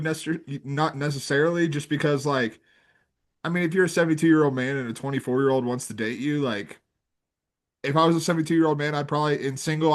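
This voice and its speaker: A man co-hosting a sports podcast, giving casual commentary in a discursive, conversational way with plenty of qualifying. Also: fairly high pitch. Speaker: podcast co-host.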